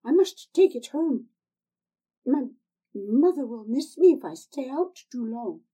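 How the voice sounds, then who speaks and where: A woman's voice: high voice, expressive, a little slow, dark tone, breathy edge, distant mic, voice pushed up, exaggerated voice, poor audio. Woman voicing a frightened young woman.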